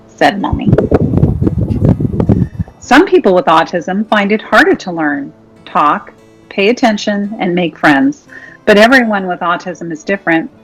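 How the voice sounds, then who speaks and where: A woman's voice: on the low side, rough recording. A woman reading a children's picture book aloud. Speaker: reader. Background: soft music.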